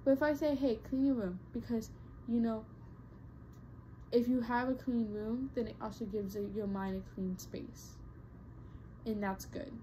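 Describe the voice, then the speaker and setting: A woman's voice: a little high, fairly even intonation, unhurried, mellow, slight background noise, low-quality recording. A young woman vlogger chatting casually to her viewers, rambling with lots of fillers and restarts. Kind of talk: casual talk to camera.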